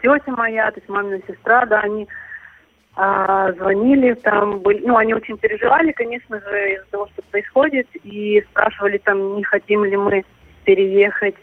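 A woman's voice: medium pitch, fairly even intonation, normal speed, dry recording. A woman from Ukraine answering interview questions in casual, hesitant talk full of fillers and restarts. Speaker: interview guest. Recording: tinny phone line.

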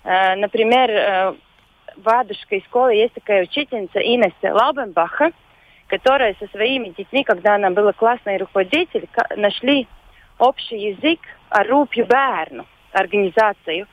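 A woman with a Latvian accent, whose first language is Latvian, speaks as a guest on a radio show by phone. She talks hesitantly and often pauses to search for words. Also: mid-range voice. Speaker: volunteer guest. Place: phone call into a radio studio. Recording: dull lo-fi phone line.

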